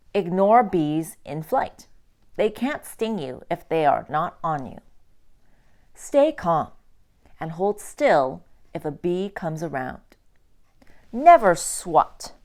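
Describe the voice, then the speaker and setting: A woman reading a children's picture book aloud like a teacher, with expressive, deliberate phrasing for young listeners. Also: fairly low pitch. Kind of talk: children's storybook read-aloud.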